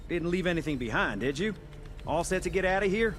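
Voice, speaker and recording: A man's voice: sits high, lively intonation, brisk, fairly bright tone. A man playing a casual, folksy handyman ally in a video game. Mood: easygoing, urgent. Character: easygoing folksy young handyman ally operating a teleporter.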